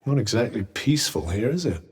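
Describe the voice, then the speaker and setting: A man's voice: medium pitch, big pitch swings, quick pace, a little mellow, breathy edge. An actor playing a sarcastic, condescending male talking bracelet in a game, speaking in dry, drawling asides. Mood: sardonic. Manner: drawling, faintly mocking asides. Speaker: game character. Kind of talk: game voice lines.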